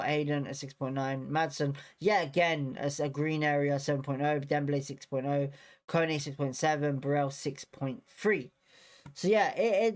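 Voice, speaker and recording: A man with a cold coming on, hosting a casual live stream and rambling with many fillers and repeated words. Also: on the high side. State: bunged up with a cold. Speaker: fan channel host.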